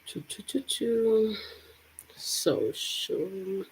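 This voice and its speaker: A Portuguese-accented woman leads a casual live English class, chatting with viewers. She talks at a slow, easy pace, laughs a lot, and breaks off to say words slowly and carefully. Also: mid-range voice, big pitch swings, poor audio. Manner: chatty, laughs often, repeats words slowly to show pronunciation. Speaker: English teacher. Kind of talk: live-streamed language lesson.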